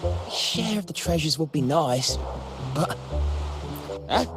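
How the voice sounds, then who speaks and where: Greedy petty crook, very animated, dragging pace, grumbling and evasive, video game dialogue. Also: male voice, a little high, takes it slowly, music in the background. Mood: hesitant, grudging. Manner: hesitant, grumbling. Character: shifty, greedy male restaurant patron and petty thief.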